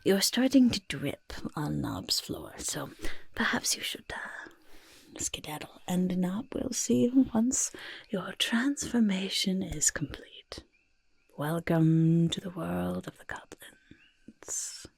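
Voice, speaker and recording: A woman voicing a boastful, evasive goblin potion-maker in soft-spoken, breathy ASMR roleplay, fussing over the listener and hesitantly backpedalling. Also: lowish voice, expressive, takes it slowly, no echo, full character voice. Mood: brisk, pleased. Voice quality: breathy, husky near-whisper. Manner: refers to self in third person, hesitant backpedalling.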